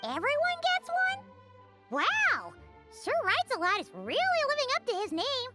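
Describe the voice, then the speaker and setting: A woman voicing a small, bubbly, childlike fairy companion in a video game, squeaky and excitable. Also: a little slow, loud music behind the voice, full character voice. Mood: excited, teasing. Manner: excitable, refers to self by name.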